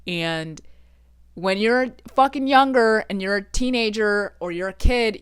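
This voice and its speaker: A woman's voice: medium pitch, clean tone, dry recording. A woman in her twenties from Texas, chatting casually and swearing often as she vlogs from her bedroom. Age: 20s. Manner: chatty, frequent swearing, self-joking. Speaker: vlogger. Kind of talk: casual solo podcast chat.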